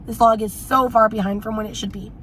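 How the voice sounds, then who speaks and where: A young woman talks casually to her camera with a hoarse voice that is nearly gone. She rambles and repeats phrases with playful, mock-dramatic sighs. A faint hum of background noise sits under her voice, as if she is in a car. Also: a little high, steady tone, fast talker, fairly bright tone. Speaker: book vlogger. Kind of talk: casual vlog chat. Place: in a car on the road.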